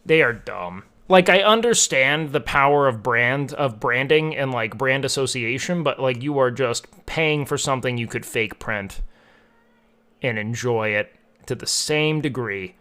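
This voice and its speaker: A man's voice: mid-range voice, animated, a little slow, pushed and bright, clean tone. Young guy streamer just talking to chat, medium voice, rambly, says like a lot. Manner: rambling, filler-heavy, frequent "like". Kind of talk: casual chat with chat.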